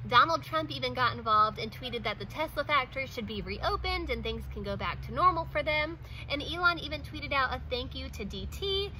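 A woman's voice: high voice, sharp tone, slight background noise, poor audio. A woman in her thirties talking casually to camera outdoors, chatty and filling her talk with "like" and little laughs. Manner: chatty, many "like"s and small laughs. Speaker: vlogger. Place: outdoors in front of a wooden fence.

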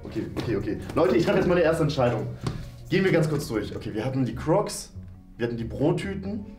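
A man's voice: mid-range voice, sing-song, quick pace, a little mellow. A man in his twenties hosting a game video casually, thinking aloud as he plays. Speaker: game show host and player. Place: small decorated room indoors. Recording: roomy indoor echo, music underneath. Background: background music.

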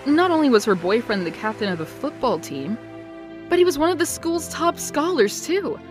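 Woman doing a wry, wistful young narrator with a lively, animated pitch. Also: moderate pace, over music. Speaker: narrator of her own past. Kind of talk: comic dub narration.

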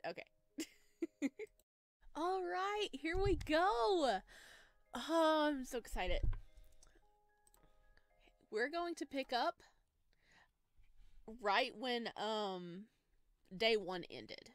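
High-voiced woman gushing through a game playthrough. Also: very slow, long pauses, no echo, studio quality, soft background music. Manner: gushing, expressive. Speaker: streamer playing a visual novel. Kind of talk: game playthrough with read-aloud narration and excited reactions.

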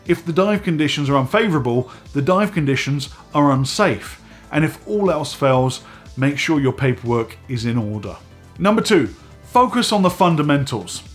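A man in his forties hosting a casual vlog from a home studio, slightly hoarse and stuffy from a head cold, chatty and animated as he talks to the camera. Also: on the high side, moderate pace, soft background music. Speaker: host. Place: home studio room with dive gear on shelves.

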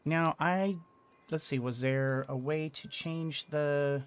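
Higher-voiced man musing through gameplay. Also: takes it slowly, smooth. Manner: musing aloud, hesitant. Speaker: gaming video host. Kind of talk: casual let's play commentary. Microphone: microphone on arm in front of him. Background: game music.

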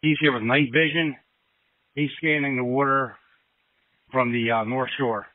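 A man's voice: mid-range voice, fairly even intonation, a little slow, dry recording. A man working as a fire battalion chief, giving status reports over emergency radio. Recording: narrow, tinny two-way radio.

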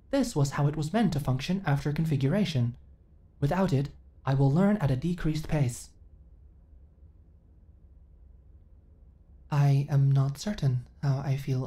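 Soft, low-voiced female android, acted by a woman. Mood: measured, detached. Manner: stilted, formal, literal, robotic phrasing. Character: earnest, naive female companion android learning emotions. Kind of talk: ASMR sci-fi audio roleplay.

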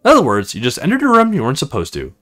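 A man's voice: medium pitch, wildly swooping pitch, very fast, sharp tone, soft background music. Man reading as stern, teasing military woman. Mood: matter-of-fact, bargaining.

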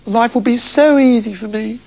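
A man's voice: extremely high pitch, normal speed, gravelly, darkish tone, voice pushed up. A man voicing a spoiled, whiny young princess who shrieks and sulks. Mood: tearful. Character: spoiled, bratty young princess turned beggar woman.

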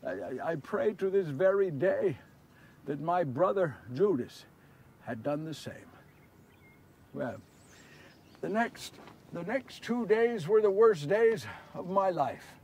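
A man's voice: sits high, expressive, moderate pace, slight background noise. A man playing Simon Peter as a big, remorseful fisherman disciple, telling his story in an earnest, confessional, theatrical dramatic monologue. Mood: solemn, regretful. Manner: earnest, confessional, theatrical, swinging from hushed to shouting. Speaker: solo storyteller actor. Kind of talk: first-person dramatic monologue of a Bible story. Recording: distant microphone.

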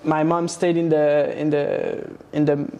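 A young man from Romania, a guest in a studio interview, talking casually with many pauses and restarts. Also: fairly high pitch, flat delivery, mellow, muffled recording, slight background noise. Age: young adult. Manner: hesitant, many fillers and restarts. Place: studio set with plant wall and neon sign. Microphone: lapel mic.

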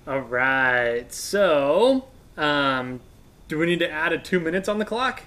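Man, American accent, thirties, fairly high pitch, casual instructional talk, conversational. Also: lively intonation, slow, pushed and bright. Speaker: guest radio expert and instructor. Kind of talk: casual explanation. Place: indoors on a couch in a workshop room.

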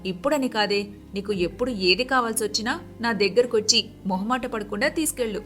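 A woman plays a generous, well-off young wife in a cartoon moral tale. She speaks in a high voice with a gentle, reassuring friendliness. Light music runs behind her. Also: brisk, close to an everyday voice. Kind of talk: animated moral-story character dialogue.

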